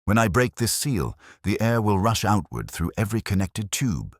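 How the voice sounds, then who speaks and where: A man narrating a story as a weary, fatalistic old inventor who speaks gravely and formally. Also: lowish voice, sing-song, moderate pace, no echo, studio quality, pitched above the performer's own voice.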